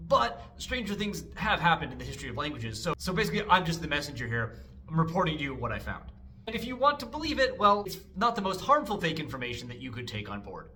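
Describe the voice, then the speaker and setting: A man hosting a casual language lesson, explaining things with wry jokes and lively asides. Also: a little high, quick pace, a little hiss or hum, low-quality recording.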